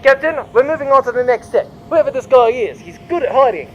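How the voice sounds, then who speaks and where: A man's voice: very high-pitched, expressive, fast talker. A man playing an anxious, dutiful pirate henchman who reports urgently in a comic sci-fi audio drama. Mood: urgent, alarmed. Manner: urgent, raised voice. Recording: muffled sound with faint music.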